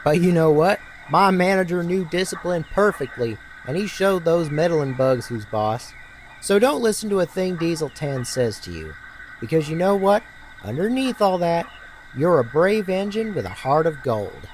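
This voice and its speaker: A man's voice: on the high side, big pitch swings, normal speed, a little hiss or hum, voice pushed up, low-quality recording. A man voicing a worldly male engine who gives folksy, encouraging advice in a children's story. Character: reassuring, worldly older male railway engine friend. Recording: music behind the voice.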